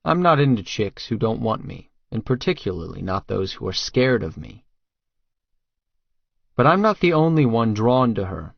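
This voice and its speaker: A man's voice: on the low side, creaky, no room sound, highs cut off, full character voice. A man narrating first-person fiction as a tough, crude, brooding hitman, terse and guarded. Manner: terse, hard-boiled, profane. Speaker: audiobook narrator.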